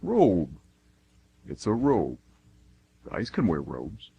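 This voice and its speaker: A man's voice: low voice, wildly swooping pitch, very slow, creaky, warm tone, lo-fi sound, exaggerated voice. A man playing a joking, slightly petulant train passenger in an amateur radio play. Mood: joking, miffed. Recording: faint noise and hum.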